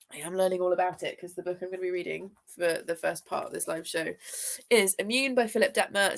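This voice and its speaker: A woman's voice: on the low side, fairly bright tone. A young woman with a congested, sniffly voice from a chest infection, chatting casually and rambling with viewers on a live stream. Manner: chatty and rambling, lots of fillers, greets viewers. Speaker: booktuber hosting a live reading sprint; teacher.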